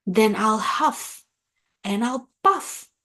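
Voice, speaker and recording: A woman plays the big bad wolf of a children's storybook, snarling his threats at his prey. The delivery is drawn out very slowly, with a high, swooping pitch that gives the menace a theatrical shape. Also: animated, fairly bright tone, exaggerated voice. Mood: menacing. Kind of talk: children's storybook read-aloud.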